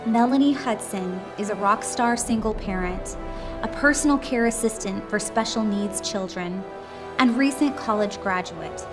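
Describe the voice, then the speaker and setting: A woman's voice: mid-range voice, moderate pace. A woman reading a scripted tribute story aloud as narration. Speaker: company employee reading submission stories. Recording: music behind the voice. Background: background music.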